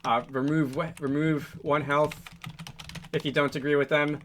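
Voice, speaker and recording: A man hosting a casual programming tutorial, thinking aloud in a halting, hesitant way as he works through code on screen. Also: a little high, little pitch movement, fairly bright tone, a little hiss or hum. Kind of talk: casual screen-recorded coding walkthrough.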